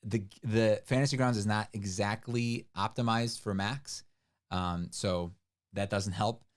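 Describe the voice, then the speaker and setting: A man's voice: lowish voice, steady tone, a little slow. A man co-hosting a livestream, chatting casually and rambling with lots of fillers and restarts. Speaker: livestream co-host. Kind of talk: casual stream chat.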